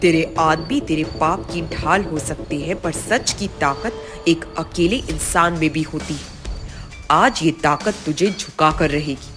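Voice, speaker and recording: A brave, poor young man from a cartoon village tale, put on by a woman. He declares things solemnly and forcefully in a lowered voice, with clear music underneath. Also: measured pace. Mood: defiant.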